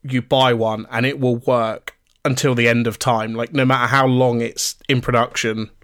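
A man co-hosting a podcast, relaxed and chatty and full of ums, his voice going a little hoarse. His middle-pitched voice is recorded close on a dry, dead mic. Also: moderate pace, no room sound. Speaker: podcast co-host. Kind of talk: casual podcast chat.

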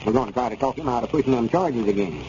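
An actor playing a bossy, self-important old rural storekeeper with a slow, lilting rural Arkansas drawl. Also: male voice, medium pitch, warm tone, a little hiss or hum, lightly acted. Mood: coaxing. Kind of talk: 1930s radio comedy acting. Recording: muffled 1930s radio broadcast.

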